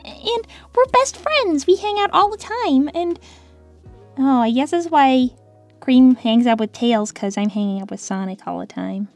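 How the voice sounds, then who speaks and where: A woman's voice: sits high, lively intonation, soft background music. A woman voicing a perky, lovestruck young hedgehog girl in a cartoon Q&A, gushing and easily flustered. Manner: gushing, quick to get flustered and defensive. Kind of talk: in-character cartoon Q&A.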